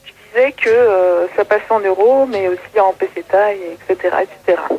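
A woman's voice: medium pitch, fairly quick, breathy edge. A woman chatting casually as a caller in a radio debate, over a phone line.

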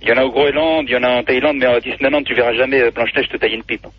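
A man's voice: mid-range voice, fairly even intonation, racing, highs cut off, a little hiss or hum. A man on a radio debate panel who cracks crude jokes and fumbles for words. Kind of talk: casual radio chat.

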